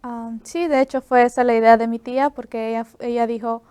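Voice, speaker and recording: A nineteen-year-old woman with an Ecuadorian accent, speaking as an interview guest. Her higher voice is clean and smooth, but she keeps to a flat, narrow pitch. She talks softly and fills pauses with many hums. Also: dry recording. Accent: Ecuadorian Spanish. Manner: soft-spoken, many "am" fillers. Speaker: student guest.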